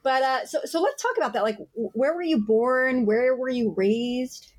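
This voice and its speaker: A woman's voice: high-pitched, expressive, moderate pace. A woman hosting a podcast interview, chatting in an upbeat, friendly way. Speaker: podcast host. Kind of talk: casual interview chat.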